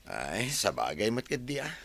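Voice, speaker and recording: A man's voice: fairly low pitch, huge pitch swings, normal speed, creaky, a bit bright, a little hiss or hum, full character voice. An actor in a Filipino radio drama playing an easygoing man who gambles with his friend. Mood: resigned, chummy.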